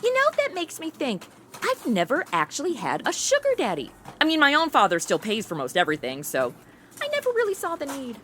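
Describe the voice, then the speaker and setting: Woman acting animated, ditzy young influencer. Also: high-pitched, a little fast, a little hiss or hum, full character voice. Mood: teasing, clueless. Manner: breezy, casual valley-girl slang. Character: ditzy, chatty, modern young female influencer. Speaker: host. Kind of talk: comedic narration and banter.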